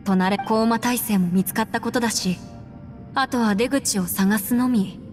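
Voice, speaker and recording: A woman's voice: a little high, normal speed, darkish tone, big-room sound, faint music behind, natural voice. A woman playing an analytical, resolute young woman in an anime game, with formal, matter-of-fact phrasing. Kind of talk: Japanese anime game dialogue.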